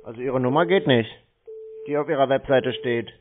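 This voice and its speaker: A man's voice: mid-range voice, normal speed, noisy background. A man in a casual phone conversation, talking as a customer over a tinny line. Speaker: scam-baiting show host posing as a customer on the phone.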